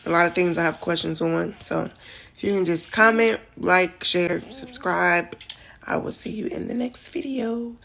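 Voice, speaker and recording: Gravelly low-voiced woman, thirty-ish, rambly emotional vlog talk, kinda teary. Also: a little slow, a bit bright. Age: 30s. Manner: emotional, rambling, tearful at times. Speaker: vlogger. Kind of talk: casual vlog chat.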